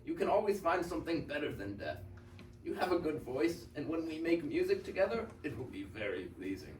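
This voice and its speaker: A man's voice: a little high, big pitch swings, fairly quick, darkish tone. A teenage boy playing an old worn-out donkey with musical dreams, joking and punning in a reader's theater performance. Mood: jokey, encouraging. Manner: jokey, punning. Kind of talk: reader's theater script reading. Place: high school classroom. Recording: roomy, distant classroom recording, faint noise.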